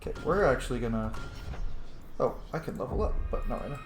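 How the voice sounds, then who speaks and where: A man's voice: mid-range voice, animated, fast talker, roomy sound, a little hiss or hum. A man giving casual, playful gameplay commentary with jokey sing-song asides. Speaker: let's player.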